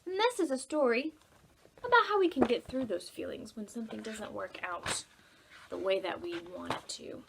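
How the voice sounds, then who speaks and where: A woman's voice: on the high side, animated, moderate pace, vocal fry, very noisy. A woman leading storytime as a children's librarian, expressively singing and reading to young children in simple language. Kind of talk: singsong children's songs and picture-book read-aloud.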